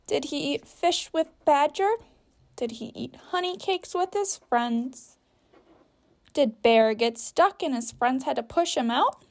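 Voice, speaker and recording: A woman's voice: sits high, darkish tone. A woman teacher guiding young children through a story activity step by step, patiently, with simple sentences and guiding questions. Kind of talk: instructional read-aloud for young children, step-by-step.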